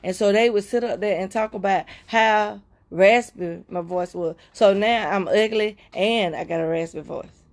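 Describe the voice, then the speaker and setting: A woman's voice: mid-range voice, moderate pace. Woman giving an emotional spoken testimony. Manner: emotional, repetitive, preacher-like cadence. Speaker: livestream host. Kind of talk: heartfelt personal testimony to viewers.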